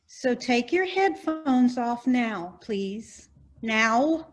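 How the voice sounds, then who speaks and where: A woman's voice: on the high side, lively intonation, extremely slow, heavily acted. A woman playing an organized, firm yet patient board member in a comedy, talking like a schoolteacher. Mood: brisk, firm. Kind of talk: farcical comedy acting.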